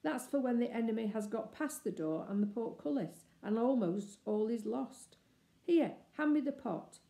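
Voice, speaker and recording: A brave, bloodthirsty young boy from a storybook, acted out by a woman. He is excited, boastful and bossy, with a raised, mellow voice in an echoey room. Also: on the high side, moderate pace, gravelly, pitched above the performer's own voice. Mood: exasperated, urgent.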